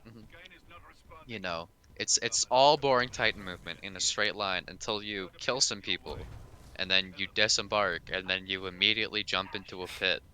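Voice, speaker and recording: A man's voice: sits low, normal speed, bright, forceful tone. A man co-commentating a live speedrun in a dryly joking way.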